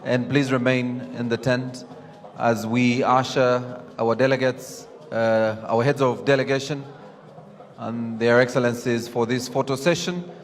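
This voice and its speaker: A man's voice: mid-range voice, fairly even intonation, unhurried. A man acting as master of ceremonies, making polite, formal announcements and giving instructions to guests. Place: large event tent, over loudspeakers. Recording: reverberant PA sound, faint music behind.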